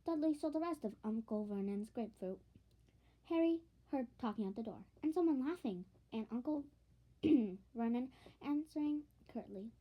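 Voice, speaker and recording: A woman reading a storybook aloud as narration, expressive, with the occasional stumble over a phrase. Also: on the high side, moderate pace, lo-fi sound. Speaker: audiobook reader.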